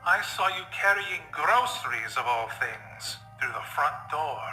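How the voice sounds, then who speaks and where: A man's voice: on the high side, animated, a little slow, pushed and bright, exaggerated voice. A man playing a cruel, aristocratic vampire villain in an audio story, theatrical, drawling and condescending. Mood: disdainful. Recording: echoing voice over faint music.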